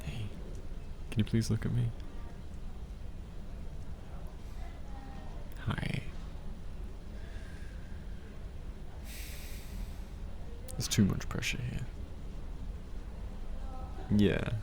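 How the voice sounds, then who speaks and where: A man playing a tender, teasing partner in a soft-spoken ASMR roleplay, soothing and gentle as he helps a loved one study. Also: fairly low pitch, steady tone, slow, gravelly, noisy background. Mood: concerned, tender. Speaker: ASMR voice actor. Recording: faint background library ambience.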